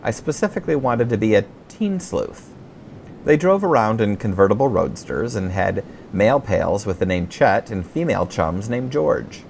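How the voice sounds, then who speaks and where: A middle-aged man with an American accent, reading his own humorous memoir as an audiobook with wry, expressive storytelling. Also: lowish voice, moderate pace, gravelly, a little hiss or hum.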